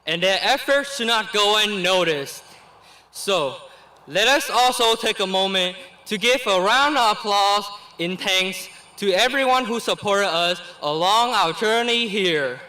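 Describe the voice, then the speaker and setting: A young man in his late teens, originally from Vietnam and speaking, gives a graduation speech he has written. He reads in an earnest, deliberate way and lets small laughs slip between phrases. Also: sits high, lively intonation, sharp tone, poor audio. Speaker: graduating student giving the commencement speech. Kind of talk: reading a prepared commencement speech.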